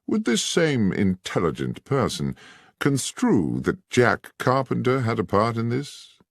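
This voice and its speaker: A man reading aloud, voicing a courteous, probing gentleman detective. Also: mid-range voice, huge pitch swings, a little slow, vocal fry, no room sound, studio quality, pitched above the performer's own voice, exaggerated voice. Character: keen, incisive gentleman detective.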